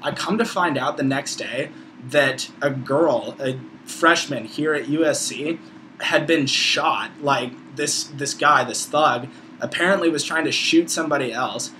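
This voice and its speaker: A man's voice: a little high, sharp tone, slight background noise. A man of about twenty with an American accent, talking casually and opinionatedly as a video blogger, with slangy, profane, informal phrasing. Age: around 20. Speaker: video blogger, college student. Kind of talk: casual opinionated vlog monologue.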